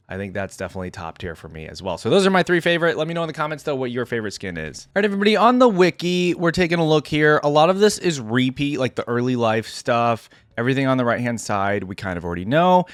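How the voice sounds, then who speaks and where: A man's voice: mid-range voice, no room sound. A man giving casual reaction commentary as host of a game lore video, reading bits aloud between remarks. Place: close, dry indoor recording.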